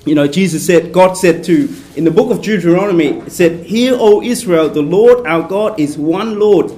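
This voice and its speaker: A soft-spoken man from Vietnam, a Capuchin priest, leading a prayer workshop for a small group in a casual way and leaning on his notes. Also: high-pitched, normal speed. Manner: soft-spoken, relies on notes, chatty asides. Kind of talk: informal prayer workshop talk.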